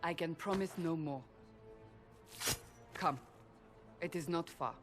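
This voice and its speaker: Grave, slow-spoken warrior woman. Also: fairly low pitch, music in the background. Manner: grave, measured, commanding. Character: stern, guarded female warrior escorting a prisoner. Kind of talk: dramatic video game acting.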